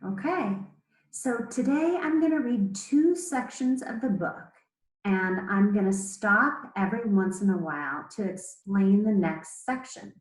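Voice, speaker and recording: A woman with a mid-pitched voice reads aloud as an elementary teacher would. Her delivery is measured and explanatory, with pauses between phrases. The recording is roomy and echoey. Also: lively intonation. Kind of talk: read-aloud lesson to children.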